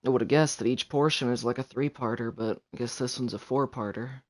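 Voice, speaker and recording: A man's voice: medium pitch, fairly even intonation, fairly quick. A man streaming a video game live, thinking aloud in offhand, casual commentary. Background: game music.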